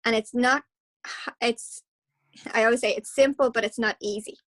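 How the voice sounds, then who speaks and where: An Irish woman speaking as a guest hypnotherapist, passionate and animated, with quick restarts. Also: on the high side. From Ireland. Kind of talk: casual interview talk.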